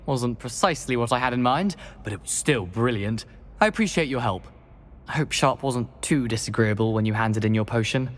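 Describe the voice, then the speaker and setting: A man's voice: mid-range voice, expressive, brisk, natural voice. A man acting an eager, mischievous teenage wizard in a video game, with a British accent and chummy, persuasive manner. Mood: cheerful, chummy.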